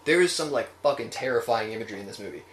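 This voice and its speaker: A man's voice: lowish voice, lively intonation, racing. A man co-hosting a review show, talking casually and opinionatedly in a group chat and swearing freely. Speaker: co-host of a film review show. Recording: roomy echo with faint noise.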